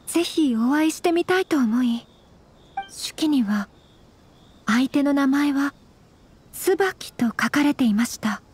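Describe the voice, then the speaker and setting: A woman playing a polite, refined young noblewoman in a Japanese anime game, with formal, gently measured speech. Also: high voice, animated. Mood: earnest, hesitant. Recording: music under the voice.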